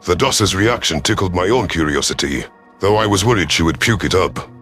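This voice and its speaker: Male narrator, low-pitched, for dramatic first-person sci-fi storytelling over faint music. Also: lively intonation, brisk, a bit bright. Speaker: audiobook narrator.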